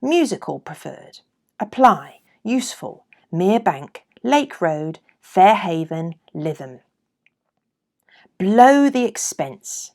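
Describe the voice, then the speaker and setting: A woman reading old letters and adverts aloud in a deliberate, measured way, as a podcast host. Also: lowish voice, very animated, darkish tone.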